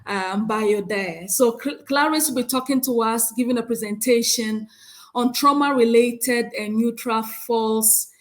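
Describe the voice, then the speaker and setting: Ghanaian woman chairing a conference session. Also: fairly high pitch. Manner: measured, with frequent hesitant "um"s. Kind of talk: conference moderation, reading a speaker bio.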